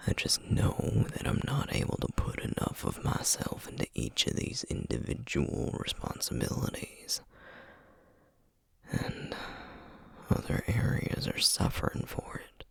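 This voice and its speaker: A man playing a gentle, burnt-out Southern sorcerer and single dad in an intimate ASMR roleplay, speaking in a husky half-whisper with a Southern US accent. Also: very deep voice, huge pitch swings, dry recording, heavily acted. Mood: drained, frustrated. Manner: slow, weary, tender, hesitant. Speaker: audio roleplay voice actor.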